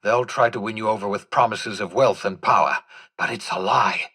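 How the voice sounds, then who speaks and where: An actor playing an eccentric, vain older mad scientist in a video game, with a husky voice and a theatrical, erudite, rambling manner. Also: male voice, fairly low pitch, normal speed, pushed and bright. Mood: earnest, urgent. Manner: theatrical, erudite, rambling, swinging from mutters to exclamations. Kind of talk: video game character dialogue.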